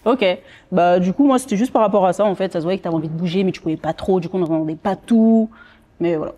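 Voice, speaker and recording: A woman's voice: on the low side, fast talker, warm tone. A woman hosting a rap contest, asking contestants questions in a friendly, casual way with many fillers. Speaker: host. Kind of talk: casual interview chat.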